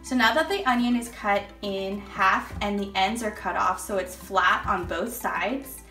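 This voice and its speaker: A woman's voice: medium pitch, pushed and bright, reverberant. A young woman in her home kitchen, walking children through a soup recipe step by step in a friendly, instructing way. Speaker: cooking teacher. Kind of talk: kids' cooking lesson.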